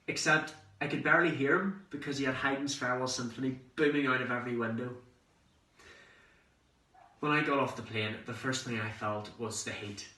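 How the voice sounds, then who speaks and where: A man acts out a cheeky young man, full of excitement, in a theatrical monologue. The voice is bright and medium-pitched, with dramatic pauses and conversational storytelling. It sounds echoey, recorded at a distance from the microphone. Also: a little hiss or hum, close to an everyday voice. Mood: wry, nervous. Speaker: amateur actor performing a monologue. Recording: roomy echo, distant microphone.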